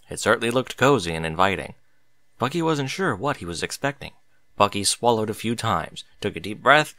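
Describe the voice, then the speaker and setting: A man reading a story aloud as narrator, in an expressive scripted narration style. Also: medium pitch, no echo, studio quality.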